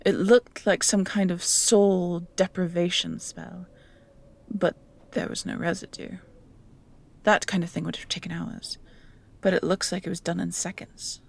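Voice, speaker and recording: A woman's voice: fairly low pitch, flat delivery, unhurried, creaky, breathy edge, heavily acted. A woman playing a dutiful, nervous leader of huntsmen in a fantasy audio drama, formal, uneasy and deferential. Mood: uneasy, reporting.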